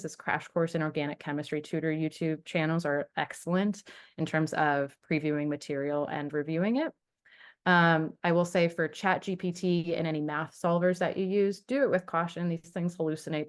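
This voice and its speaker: A woman talks through study advice in a relaxed, chatty webinar, her voice sitting a bit low. She is encouraging and often restarts a phrase to fix her wording, heard over a computer mic on a video call. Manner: chatty, self-correcting, encouraging. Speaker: webinar presenter on study skills. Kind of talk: casual presentation with slides.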